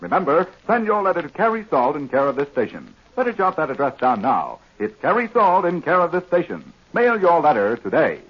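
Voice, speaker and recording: A man's voice: a little high, very animated, brisk, few pauses, slightly rough. A man reading sponsor messages as an announcer in 1940s radio advertising style. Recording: dull 1940s radio recording.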